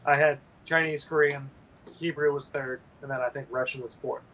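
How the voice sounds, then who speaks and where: A man's voice: mid-range voice, fairly even intonation, normal speed, fairly bright tone, slight background noise, poor audio. Rambling sleepy man. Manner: rambling, sleepy, many ums. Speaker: painter and livestream host. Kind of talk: casual livestream chat.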